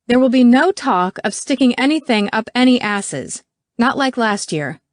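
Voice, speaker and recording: Audiobook lady doing strict fed-up school boss woman, barky, raised pitch, dry sound. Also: big pitch swings, moderate pace, no room sound, lightly acted. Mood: barking, scolding. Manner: barking, commanding. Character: stern, exasperated woman school principal scolding staff.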